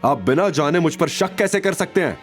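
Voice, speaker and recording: A man's voice: a little high, expressive, moderate pace, faint music behind, pitched above the performer's own voice, natural voice. A man voicing a proud, defiant young man who speaks firmly and indignantly in an audio drama. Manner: firm, indignant.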